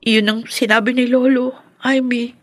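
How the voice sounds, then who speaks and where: A woman's voice: a little high, level tone, a little slow. An actress playing a sorrowful, wounded young woman in a radio drama, speaking plaintively. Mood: sorrowful, hurt. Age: young adult. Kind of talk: Tagalog radio drama acting.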